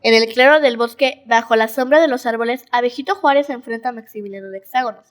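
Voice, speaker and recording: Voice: medium pitch, fast, a bit bright. A schoolchild with a Mexican accent reading scripted narration in a school audio drama. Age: child.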